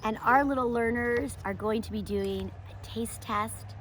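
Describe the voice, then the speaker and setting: A woman presenting a children's lesson and picture book read-aloud as a homeschool teacher, with expressive, emphatic delivery aimed at young children. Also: fairly high pitch, unhurried, a little hiss or hum.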